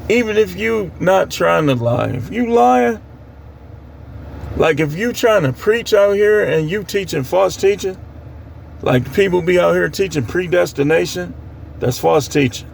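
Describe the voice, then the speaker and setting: A man's voice: sits high, lively intonation, unhurried, vocal fry, a little hiss or hum. An American man preaching informally on scripture in a plain-spoken, admonishing way, repeating phrases for emphasis. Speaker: street preacher.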